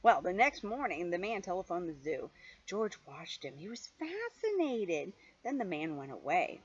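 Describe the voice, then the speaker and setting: A mature woman reading a picture book aloud to a child in an animated, playful way, with chatty asides. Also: lowish voice, moderate pace, slightly rough. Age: middle-aged or older. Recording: dull lo-fi home recording, faint noise.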